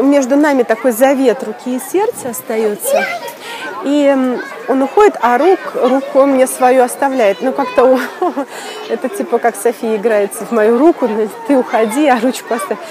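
A woman telling a personal story in a casual way, animated and laughing often, with many fillers and restarts. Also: high voice. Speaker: video blogger.

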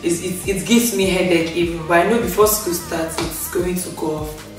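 A young woman chats to camera from her sofa, expressive and quick to laugh, her voice still recovering after she lost it. The room rings with strong echo, and she sits a little back from the mic. Faint music plays underneath. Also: lowish voice, recorded from a distance. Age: young adult. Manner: chatty, expressive, laughs often, with hand gestures. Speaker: vlogger. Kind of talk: casual storytime rant to camera. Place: indoor living room, on a grey sofa.

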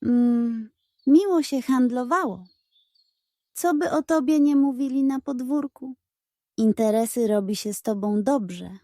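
A self-satisfied, sly male cat from a children's storybook, played by a woman. The voice is high yet mellow, lazily nonchalant and smug, recorded very dry. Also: a little slow, no echo, natural voice.

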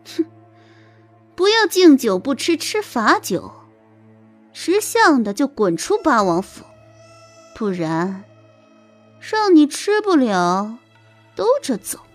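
A woman voicing a haughty, menacing young concubine in an audio drama, sneering and scolding with drawn-out threats. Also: sits high, sing-song, faint music behind, exaggerated voice. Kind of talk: audio drama character acting.

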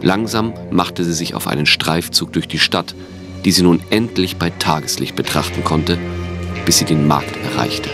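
A man narrates a scripted radio play. His voice sits very low, and music plays clearly behind him. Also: moderate pace. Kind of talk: scripted audio drama narration.

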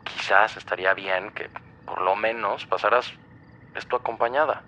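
Concerned boyfriend, bright voice. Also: male voice, lowish voice, steady tone, moderate pace, lo-fi sound, slight background noise. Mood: cautious, reassuring. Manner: cautious, reassuring. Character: concerned, level-headed boyfriend on a call. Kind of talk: naturalistic audio drama acting.

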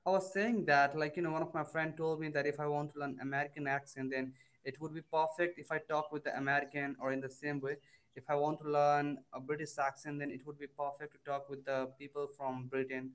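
Hesitant young Indian man, slightly high. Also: level tone, brisk, a bit bright, clear, resonant tone, low-quality recording. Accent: Indian, from Bihar. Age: young adult. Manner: hesitant, many fillers like "you know" and "I mean". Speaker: student learning English. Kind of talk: casual conversation lesson. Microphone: video call.

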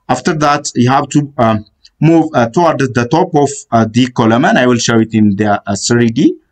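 A man narrating a screen-recorded software tutorial, giving step-by-step instructions in a halting way with many "uh" fillers. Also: mid-range voice, lively intonation.

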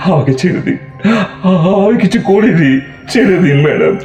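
A man's voice: high-pitched, animated, normal speed, a little mellow, faint music behind. Man acting a stammering, guilt-ridden suspect, echoey. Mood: desperate pleading. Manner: stammering, repeating words in agitation. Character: guilty, panicked man, a police suspect pleading innocence. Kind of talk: audio drama acting.

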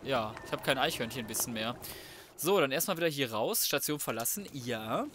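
A man's voice: medium pitch, lively intonation, normal speed, noisy background. A man giving casual, slangy and crude commentary on a video game, talking to himself as he plays. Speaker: let's play gamer.

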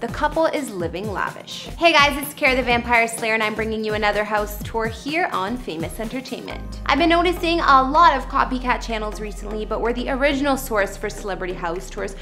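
A young woman with a slightly high voice hosts a celebrity house tour in a bright, chatty presenter manner. She reads a polished script in a studio. Soft music plays faintly underneath her. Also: lively intonation, brisk, few pauses. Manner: upbeat, chatty presenter delivery. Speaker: host. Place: studio against a plain backdrop. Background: faint background music.

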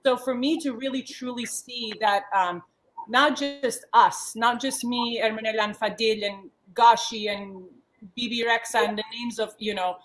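A woman's voice: on the high side, fairly even intonation, moderate pace, fairly bright tone, a little hiss or hum, low-quality recording. Earnest woman on a video call. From born in the States, raised in Yugoslavia. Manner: earnest, many restarts and fillers. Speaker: panel guest, former model. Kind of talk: panel discussion on a video call. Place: home living room. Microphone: laptop webcam on a video call.

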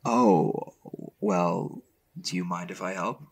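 A man playing a gruff, easily flustered young boyfriend in an intimate audio roleplay, dry and a little awkward, with a husky, gravelly voice. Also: low voice, takes it slowly, heavily acted. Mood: shy, eager.

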